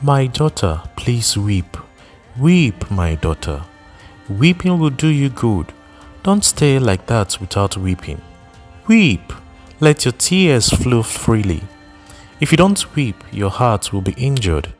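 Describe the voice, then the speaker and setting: A man's voice: mid-range voice, sing-song, slow pace, faint music behind, voice pushed up. A man doing a stern, protective older woman. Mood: coaxing. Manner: stern, commanding, then coaxing.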